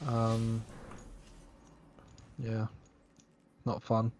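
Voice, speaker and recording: A man's voice: lowish voice, completely flat delivery, very slow, a little mellow, a little hiss or hum. A man, down with a sniffle, teasing his friend and laughing often in casual voice chat. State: sick with a sniffle.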